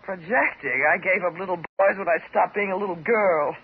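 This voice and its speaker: An American actress playing an intense, unstable psychotherapy patient, theatrical and emotionally volatile, in a 1950s radio drama. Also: female voice, on the low side, normal speed, slightly rough. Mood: mocking. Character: intense, unstable woman psychotherapy patient in love with her doctor. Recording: dull, hissy 1950s radio recording.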